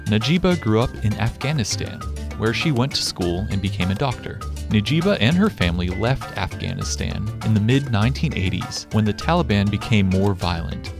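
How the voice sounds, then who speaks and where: A male podcast host reading a scripted episode introduction in a measured voice. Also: on the low side, expressive. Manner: measured, read-aloud delivery. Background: music.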